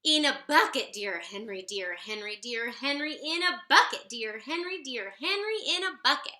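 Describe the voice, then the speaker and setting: A woman reading a children's picture book aloud in an expressive, theatrical sing-song way. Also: on the high side, moderate pace. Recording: roomy, echoey sound.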